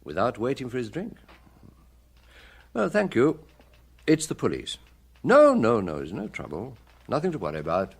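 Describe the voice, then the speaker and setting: A British actor playing a brisk, wry, dogged chief inspector with a husky voice in a radio drama. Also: male voice, medium pitch, wildly swooping pitch, exaggerated voice. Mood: urgent, questioning.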